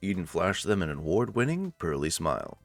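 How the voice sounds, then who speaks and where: A man's voice: low voice, very animated, a little fast. A man reading scripted narration for a visual novel. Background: faint music.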